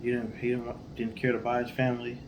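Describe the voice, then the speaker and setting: A man with a mid-range voice talks quickly as a podcast co-host. He reacts casually and gives blunt opinions in colloquial speech, over faint background noise. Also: mid-range voice, monotone. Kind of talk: casual chat, reacting to a story.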